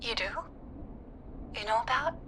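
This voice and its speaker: An actress playing a perky, flirty, evasive jazz studio owner in a video game, with a theatrical, gushing manner. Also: female voice, fairly high pitch, normal speed, sharp tone, a little hiss or hum. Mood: nervous, guarded.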